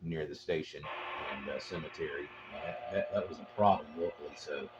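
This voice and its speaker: A man's voice: very deep voice, brisk. A man chatting casually as an amateur radio operator, talking in a matter-of-fact, rambling way. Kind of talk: casual chat over two-way radio. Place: portable, out at a local spot. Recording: dull lo-fi audio with clear noise.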